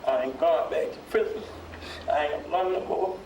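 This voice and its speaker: A man's voice: a little high, normal speed. A man giving personal testimony in a colloquial way, often saying "you know". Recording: echoey room, distant mic, clear noise.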